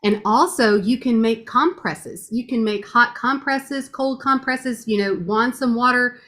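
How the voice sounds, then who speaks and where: A woman's voice: fairly high pitch, measured pace, fairly bright tone. A woman with a strong voice giving a chatty, gushing testimonial, laughing often as she talks. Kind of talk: casual testimonial chat.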